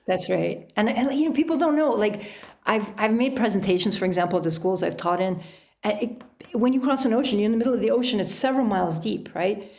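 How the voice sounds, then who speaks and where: A middle-aged American woman answers interview questions in a conversational way. Her mid-pitched voice has a noticeable creak, and she peppers her explanations with "you know.". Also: expressive, fast, darkish tone. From United States. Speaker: podcast guest, author and sailor.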